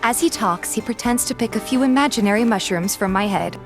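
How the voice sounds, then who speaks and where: A woman's voice: fairly high pitch, fairly quick, music in the background, natural voice. An actress playing a sulky but fond young woman, narrating in the first person and acting out her grumbling lines. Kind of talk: first-person story narration with acted dialogue.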